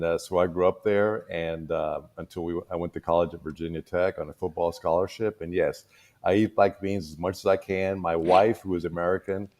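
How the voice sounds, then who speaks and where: A man in his sixties, born in Cuba, talking about himself as a school board candidate in a relaxed way, with many pauses and fillers. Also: sits low. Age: 60s. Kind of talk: casual panel chat.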